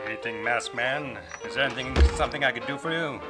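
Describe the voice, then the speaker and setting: A man plays a courteous Western marshal in an old-time radio play. He is folksy and plain-spoken at a middling pitch, with music clearly audible behind him. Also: fast talker, natural voice. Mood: folksy, curious. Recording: live club performance with music behind.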